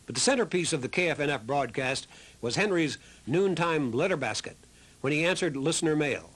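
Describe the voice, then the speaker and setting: Higher-pitched man narrating a documentary. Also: lively intonation, a little fast, fairly bright tone. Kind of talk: scripted narration.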